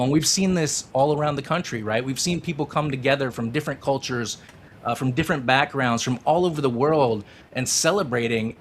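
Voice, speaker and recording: A man's voice: mid-range voice, fairly bright tone, slight background noise. A man co-hosting a casual soccer talk show, enthusiastic and rambling, with many fillers. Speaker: podcast co-host.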